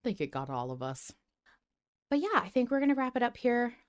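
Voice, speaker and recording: A woman's voice: on the high side, sing-song, fairly quick, dry recording, studio quality. A woman hosting a podcast in a casual, conversational discussion. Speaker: podcast host.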